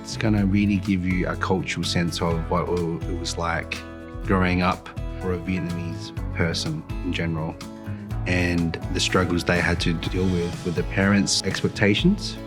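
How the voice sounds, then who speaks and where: A man's voice: deep voice, level tone, normal speed, music in the background. A man giving an explanatory interview.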